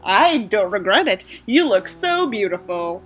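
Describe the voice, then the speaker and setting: A woman's voice: mid-range voice, big pitch swings, a little slow, fairly bright tone, faint music behind. A woman voicing an earnest, gushing, smitten seventeen-year-old boy in a mocking story reading.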